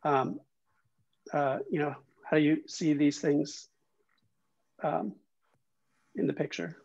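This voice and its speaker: A man's voice: fairly high pitch, takes it slowly, gravelly, a little mellow, dry recording. A man moderating an online talk, reading a question out with hesitant fillers. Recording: dry, muffled call audio.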